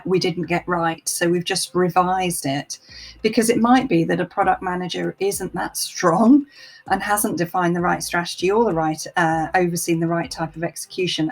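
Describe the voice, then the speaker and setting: A woman speaking as an interview guest in a thoughtful discussion, explaining things in a measured, structured way. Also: fairly low pitch, breathy edge. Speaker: interview guest, senior product director.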